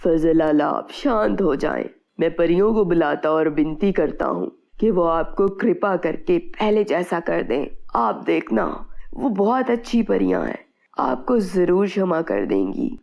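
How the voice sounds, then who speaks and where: A woman voicing a humble, good-hearted male fruit farmer, gentle and earnest, in a children's storybook. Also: fairly low pitch, expressive, measured pace, gravelly, dull audio, voice pushed down. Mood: reassuring.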